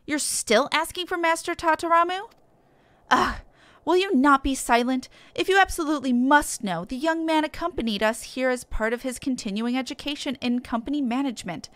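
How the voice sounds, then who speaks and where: A woman's voice: sits high, normal speed, fairly bright tone, no echo. A woman voicing a haughty, officious company clerk with a formal, scolding manner.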